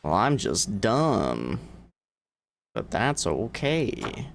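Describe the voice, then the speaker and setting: A man's voice: on the low side, huge pitch swings, very slow, gravelly, a little hiss or hum. A man giving casual livestream game commentary, informal and self-deprecating as he thinks aloud. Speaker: gaming livestreamer. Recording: music playing behind the voice. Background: music.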